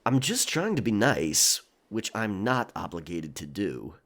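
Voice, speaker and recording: An actor playing a stern pirate quartermaster in a historical romance audio drama, brusque, controlled and threatening, yet reasonable. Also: male voice, lowish voice, sing-song, unhurried, fairly bright tone, a little hiss or hum, exaggerated voice. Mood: quiet threat.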